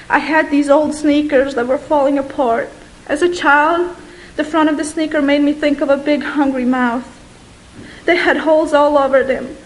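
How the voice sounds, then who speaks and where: High-pitched young woman from Bosnia, earnest testimony, slow with long pauses. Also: low-quality recording. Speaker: guest giving a testimony.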